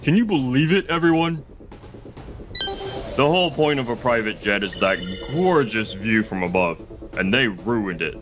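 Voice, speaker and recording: Man acting a scheming, lively-voiced slick CEO. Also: medium pitch, normal speed, over music, close to an everyday voice. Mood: smug lying. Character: scheming, panicky male CEO lying smoothly to investors. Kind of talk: manga dub acting.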